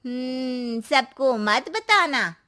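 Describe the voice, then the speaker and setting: A woman puts on a cartoon neighbourhood auntie. She speaks high and emphatically, stretching her words. She sounds gushing, nosy and secretive. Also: animated, extremely slow, bright, forceful tone, heavily acted. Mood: probing. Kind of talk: Hindi cartoon story dialogue.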